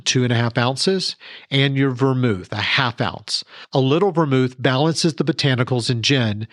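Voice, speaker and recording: A man presents a scripted how-to segment for a podcast. His voice sits at a medium pitch, and the sound is close and dry, with no room around it. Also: fairly bright tone, dry recording. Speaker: podcast cocktail segment reporter. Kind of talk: instructional scripted narration.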